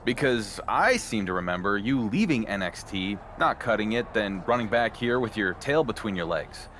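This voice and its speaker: A man's voice: on the low side, animated, moderate pace, fairly bright tone, slight background noise. A male actor playing a self-assured, guarded champion wrestler in a video game, wry and needling. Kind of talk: video game cutscene dialogue.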